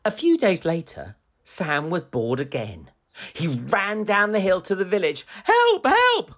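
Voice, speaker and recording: A woman reading a picture book aloud for children in an animated, deliberate storytelling manner. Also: fairly low pitch, dull audio.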